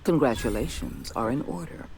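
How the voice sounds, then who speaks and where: A cold corporate board official. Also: male voice, medium pitch, lively intonation, moderate pace, vocal fry, a little mellow, a little hiss or hum, rough recording. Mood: cold formal. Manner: clipped, coldly formal and approving.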